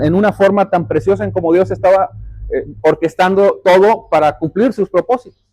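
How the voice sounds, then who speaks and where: High-pitched male preacher, emphatic and didactic. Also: a little mellow. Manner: emphatic, repeats phrases for stress; didactic, explanatory, rhetorical questions. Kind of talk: sermon, addressing the congregation directly.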